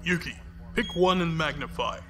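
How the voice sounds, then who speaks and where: A man playing a composed, disdainful fleet commodore in an audio drama, with a measured, formal, commanding delivery. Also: a little high, heavy background noise, natural voice.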